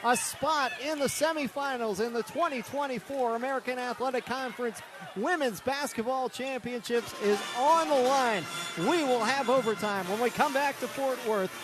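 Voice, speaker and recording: A male play-by-play announcer with a very high-pitched, projected voice calls a live game for radio. His phrases come urgent and clipped, straight from the venue into a broadcast mic. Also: moderate pace, music in the background.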